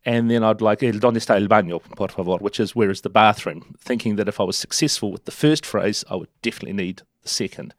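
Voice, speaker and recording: A man's voice: lowish voice, quick pace, few pauses, no echo, studio quality. Chatty middle-aged New Zealand man. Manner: chatty, self-deprecating, many restarts. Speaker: interview guest. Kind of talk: relaxed storytelling.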